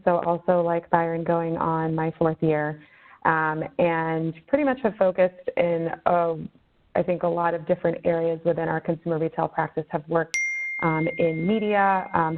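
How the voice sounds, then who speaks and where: A woman in her thirties, a consulting manager, giving a relaxed self-introduction full of fillers on a webinar. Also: fairly low pitch, normal speed. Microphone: conference call line. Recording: phone-line webinar audio.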